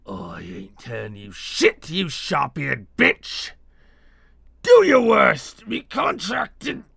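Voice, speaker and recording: A man's voice: fairly low pitch, fairly quick, vocal fry, fairly bright tone, slightly rough, no room sound, full character voice. A man voicing a frantic wounded thug who is defiant yet begging, with coarse, slangy, broken speech in a fantasy story. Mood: defiant, furious.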